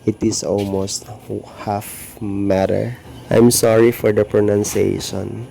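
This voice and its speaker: A man with a Filipino accent narrating a home gardening vlog in a slow, earnest way. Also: on the low side, flat delivery, vocal fry, warm tone, slight background noise. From Philippines. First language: Tagalog or Filipino. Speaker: gardening vlogger.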